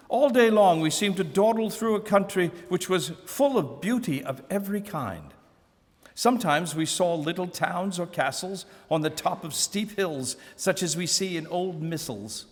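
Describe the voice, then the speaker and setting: A man reading a classic novel aloud at a live museum event, in a measured, expressive first-person narration. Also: sits high. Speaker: reader. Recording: long hall reverb.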